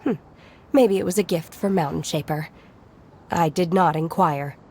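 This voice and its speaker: A woman voicing a serene, literal-minded young mountain disciple in an anime-style video game, formal, measured and earnest. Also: fairly low pitch, a little mellow, breathy edge. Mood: composed. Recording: music faintly behind the voice.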